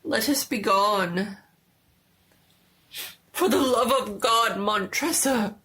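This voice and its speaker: Lady doing a desperate walled-up nobleman, gothic horror, pitched up and loud, fake jolly and begging. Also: takes it slowly. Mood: anguished pleading. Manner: forced jollity turning to pleading, broken by laughter. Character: desperate nobleman walled up alive, laughing and pleading. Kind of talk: dramatic gothic horror story reading.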